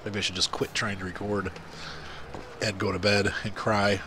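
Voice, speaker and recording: A man's voice: fairly low pitch, little pitch movement, moderate pace, noisy background. A middle-aged man with a congested, stuffy nose, chatting casually while playing a farming game for his channel. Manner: rambling, chatty, many fillers. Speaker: gaming channel host. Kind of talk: casual gameplay commentary.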